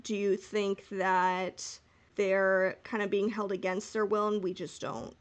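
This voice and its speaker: A woman's voice: medium pitch, little pitch movement, slow pace. A woman hosting a podcast, chatting casually and informally as she speculates in a fan discussion. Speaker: podcast host.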